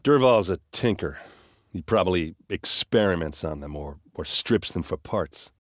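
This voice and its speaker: A gruff, loyal soldier from a video game, with a deep voice and a blunt, informal way of talking. He is brash and wry, with grief under the bluster. The sound is dry, with no room on it. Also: lively intonation, normal speed, no echo. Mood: earnest.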